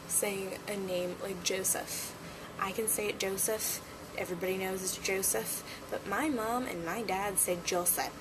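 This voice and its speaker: A young woman chatting casually in a home vlog, playful and giggly. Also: medium pitch. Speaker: vlogger. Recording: noticeable background noise.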